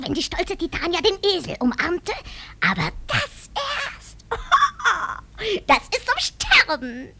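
Piercing, squealing, manic squirrel sidekick. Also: female voice, extremely high pitch, a little hiss or hum, exaggerated voice. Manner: squealing, excitable, jeering. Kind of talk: theatrical radio play acting.

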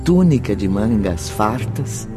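A man narrating a scripted radio drama in a dramatic, measured manner. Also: on the low side, very animated. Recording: music behind the voice.

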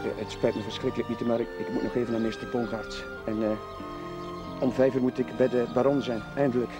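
An actor playing a kind, earnest village priest in a 1970s Dutch television drama, gentle and hesitant in manner. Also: male voice, medium pitch, level tone, fast talker, natural voice. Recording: 1970s TV soundtrack, music underneath.

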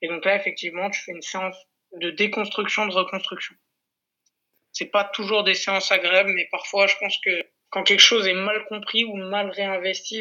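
High, very bright-voiced male guest. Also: dull audio. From Corsica, France. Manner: didactic, explanatory. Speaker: interview guest, football coach. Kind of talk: conversational explanation.

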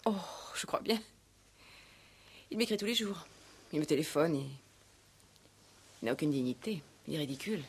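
An actress playing a proud, guarded young woman in a 1960s French radio play, breathy, hesitant and defensive. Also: low voice, expressive, moderate pace, pauses often, exaggerated voice. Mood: evasive, proud. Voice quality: breathy, often near whisper. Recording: old 1960s radio recording.

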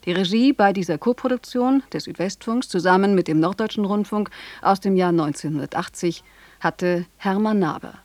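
A woman's voice: medium pitch, moderate pace, a little mellow, dry recording. A woman presenting a scripted radio introduction in an informative announcer's manner.

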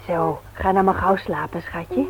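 A woman's voice: fairly low pitch, steady tone, unhurried, dull audio, slight background noise. An actress playing a playful but earnest, devout wife and mother in a naturalistic radio drama. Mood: tender. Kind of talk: naturalistic Dutch radio play acting.

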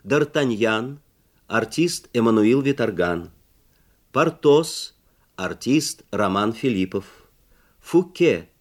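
A man reading radio drama credits as an announcer, in a formal, measured, scripted manner. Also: medium pitch, expressive.

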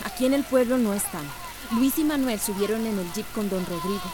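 A woman's voice: medium pitch, a little fast, lightly acted. Concerned young village lady played by an actress. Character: concerned, cautious but loyal village señorita. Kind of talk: radio soap opera acting. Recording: radio drama under loud music.

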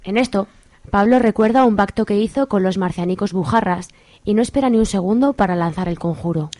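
A woman's voice: medium pitch, brisk, mellow, no echo. A woman narrating a scripted comic radio play as a storyteller.

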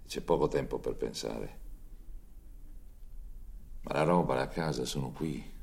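A man reading scripted historical narration for a documentary film in a measured storytelling manner. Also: a bass voice, vocal fry, darkish tone, slightly rough.

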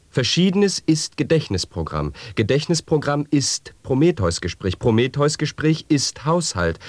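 An actor in a radio play reciting chained nonsense statements in a measured, lecturing way. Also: male voice, medium pitch, no room sound. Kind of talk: reciting sound poetry.

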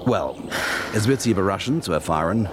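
A man's voice: low-pitched, animated, a little fast, noisy background. An actor playing a cynical male corporate spy, mocking in tone and slipping words into his talk. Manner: mocking, mixes English phrases into German. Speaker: industrial spy. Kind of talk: radio drama acting.

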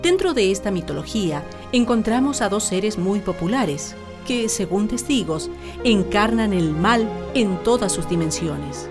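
A woman's voice: mid-range voice, animated, unhurried. A woman narrating a radio drama in a scripted, solemn, theatrical style. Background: prominent music.

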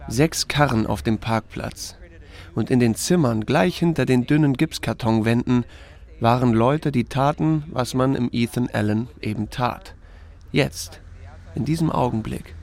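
An actor playing a laconic, wry small-town sheriff who narrates a radio drama in a gravelly, dry, unhurried voice. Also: male voice, mid-range voice, a little mellow. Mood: dry, observant. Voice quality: gravelly, creaky. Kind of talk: scripted first-person drama narration.

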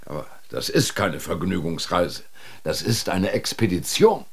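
An actor playing a stern, dismissive polar expedition commander in a radio drama, clipped and condescending with a husky voice. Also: male voice, sits low, big pitch swings, unhurried, heavily acted. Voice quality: husky, breathy.

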